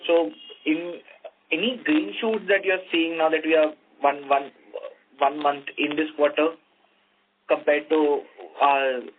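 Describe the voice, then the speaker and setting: A man with an Indian accent asks questions as an analyst on an earnings call, polite and often rephrasing as he goes. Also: fairly high pitch. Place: conference call. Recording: narrow phone line with faint noise and hum.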